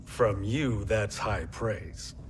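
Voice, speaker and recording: An actor playing an impatient, sardonic warrior in a game cutscene, with a blunt, dry delivery. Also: male voice, lowish voice, extremely slow, noisy background. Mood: skeptical. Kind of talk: video game cutscene acting. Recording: music behind the voice.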